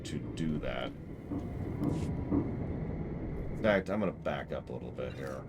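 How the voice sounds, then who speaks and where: A man's voice: very deep voice, animated, takes it slowly, heavy background noise. A man chatting casually on a game livestream, rambling in a wry way. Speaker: game streamer.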